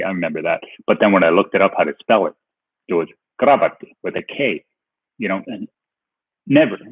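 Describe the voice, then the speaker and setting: Husky low-voiced man. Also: dry recording. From Canada. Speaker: livestream host answering chat questions. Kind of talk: casual chat. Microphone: headphones with mic. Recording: tinny phone-like livestream audio.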